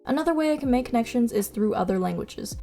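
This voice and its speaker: A woman's voice: fairly high pitch, lively intonation, fast talker. A young woman whose first language is Mandarin, chatting casually in a vlog voice-over about how she studies, with plenty of likes and ums. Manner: chatty, self-deprecating, lots of likes and ums. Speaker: vlogger teaching her own study method. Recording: soft music behind.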